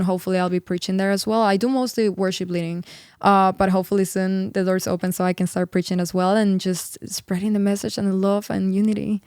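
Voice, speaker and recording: Earnest young woman, smooth and flat. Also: medium pitch, no echo, studio quality. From Peru. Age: 20s. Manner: earnest, upbeat, filler-heavy with many "like"s. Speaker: singer and worship leader guest. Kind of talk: casual interview chat.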